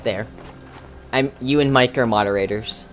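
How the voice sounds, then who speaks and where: A man's voice: medium pitch, faint music behind. Young man rambling over a game stream. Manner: rambling, halting, many restarts and fillers. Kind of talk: casual livestream commentary.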